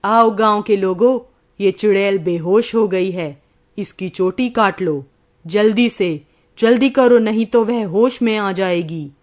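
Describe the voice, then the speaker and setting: A woman's voice: medium pitch, takes it slowly, voice pushed down, natural voice. Storybook sage: woman as protective male village mystic, authoritative, urgent, mid-register. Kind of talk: dramatized moral-story narration.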